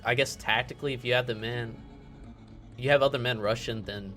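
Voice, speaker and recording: A man's voice: medium pitch, fairly even intonation, fairly quick, pushed and bright. A man playing a tabletop roleplaying game, chatting casually and hesitantly as he thinks aloud. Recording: music playing faintly behind.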